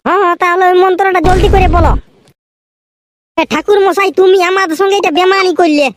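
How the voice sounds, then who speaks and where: Man doing a shrill, pushy cartoon village youth. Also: fairly even intonation, fairly quick, a bit bright. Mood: impatient. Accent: Purulia Bengali. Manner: demanding, rustic. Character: pushy, impatient village youth, male exam student. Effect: pitch-shifted up. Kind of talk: comic cartoon dialogue. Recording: clearly noisy track with clicks.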